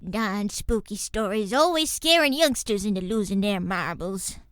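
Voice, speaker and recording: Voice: medium pitch, a little slow, a bit bright, smooth, no echo, studio quality, voice pushed up, lightly acted. A performer voicing a cranky old farm granny pony with a Southern US accent, grumbling and folksy. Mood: weary grumbling.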